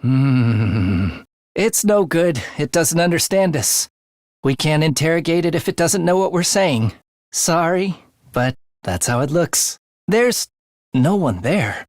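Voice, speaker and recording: A man's voice: fairly high pitch, no room sound, clean studio sound. An actor playing a playful, morbid young male assassin in a video game, with breezy, teasing speech that turns theatrical. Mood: casual, keen. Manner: breezy, teasing slang turning breathy and theatrical. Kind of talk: English video game voice acting.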